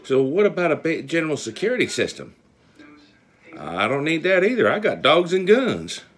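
A man's voice: on the high side, huge pitch swings, normal speed. A man, chatting casually to his phone camera in a blunt, folksy way, telling stories. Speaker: amateur video blogger. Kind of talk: casual chat to camera, storytelling. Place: small indoor room with panel ceiling. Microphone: phone at arm's length.

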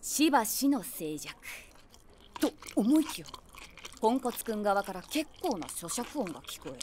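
A woman's voice: mid-range voice, big pitch swings, normal speed, a little mellow, a little hiss or hum, lightly acted. An actress playing a nosy, imaginative middle-aged woman who narrates a comic audio drama with wry, theatrical asides. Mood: amused commentary. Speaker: narrator and main character.

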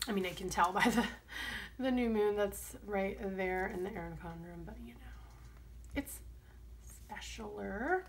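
A woman, chatting casually while planning. Her voice is strained and crackly, sometimes dropping deep, and she rambles between soft asides. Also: unhurried, creaky, pauses often. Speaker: planner vlogger. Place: home desk, camera overhead on planner.

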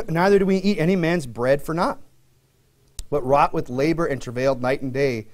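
A man's voice: on the high side, normal speed. A middle-aged man preaching a sermon from a pulpit on a church stage, reading scripture aloud and restarting phrases as he explains. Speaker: pastor. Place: church stage before a dark curtain.

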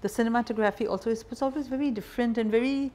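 A woman in her fifties hosts an interview. Her voice sits slightly high, and she asks her questions in a conversational way. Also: fairly even intonation, very fast, darkish tone. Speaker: interview host. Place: indoor bar lounge set.

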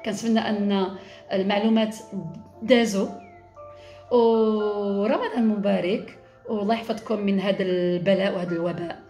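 A woman's voice: mid-range voice, fairly even intonation, very fast, warm tone, roomy sound, soft background music. A woman in her thirties with a Moroccan accent, a coach explaining things step by step to parents as she writes on a flipchart. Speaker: positive discipline coach. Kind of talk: recorded talk to parents. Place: small office, indoors.

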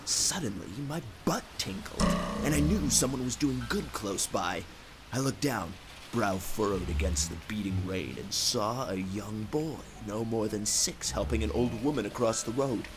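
A man's voice: on the low side, expressive, unhurried, background noise. A man giving dramatic, self-serious first-person narration of a scripted comic story in a theatrical manner. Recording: music under the narration.

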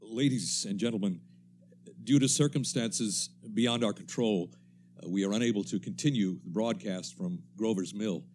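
An actor as a lowish-voiced formal radio announcer. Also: male voice, a little mellow, close to an everyday voice. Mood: composed, formal. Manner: formal, measured, slightly hesitant. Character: composed, formal male radio studio announcer. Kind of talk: 1930s radio acting, announcer. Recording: faint hum and noise.

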